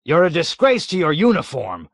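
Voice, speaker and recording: A man voicing a petulant, self-righteous male colonel who shouts in high, whiny bursts. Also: big pitch swings, moderate pace, no room sound, studio quality. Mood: blustering wrath. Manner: shrill, whiny, shouting outbursts.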